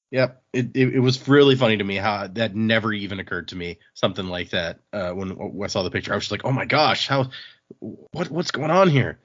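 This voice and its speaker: Low-voiced man hosting a casual podcast. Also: fairly quick, fairly bright tone, muffled recording. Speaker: podcast host. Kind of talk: casual book discussion.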